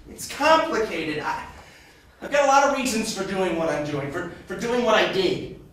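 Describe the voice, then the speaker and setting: A man's voice: high voice, sing-song, moderate pace, fairly bright tone. Man acting defensive young ghost, distant and echoing. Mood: defensive, pleading. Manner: casual, defensive, sardonic. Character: defensive, lovelorn male ghost who died young decades ago. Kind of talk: theatrical drama acting. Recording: distant, very echoey room, faint noise.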